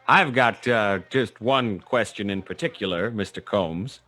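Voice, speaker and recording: An actor playing a wry, hard-boiled private detective, narrating a noir radio drama in a dry, sardonic way. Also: male voice, on the low side, a little slow, sharp tone. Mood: sardonic needling.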